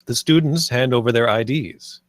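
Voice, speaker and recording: A man's voice: mid-range voice, moderate pace, dry recording, clean studio sound. A man narrating the stage directions of a script at a table read.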